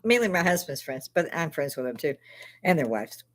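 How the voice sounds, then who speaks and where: A woman's voice: low-pitched, sing-song, fairly quick. An older woman from Chicago with a Chicago accent, hosting a live stream and telling rambling, laugh-filled stories with plenty of swearing. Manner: laughs often, swears freely, rambling anecdotes. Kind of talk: casual storytelling chat.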